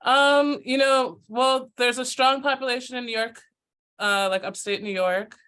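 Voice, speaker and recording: An American woman, a chef and cookbook author, answering interview questions in a thoughtful, measured way over a video call. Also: a little high, fairly even intonation, fairly bright tone. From United States.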